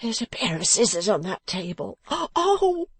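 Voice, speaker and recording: A pathetic, battered young gentleman from a Victorian storybook, played by a woman narrator. The voice is pitched up and strained, swinging widely as it whines and groans in drawn-out, self-pitying complaint. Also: sing-song, breathy edge, dry recording, full character voice. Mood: pleading, suffering. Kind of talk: audiobook character voice from a Victorian novel.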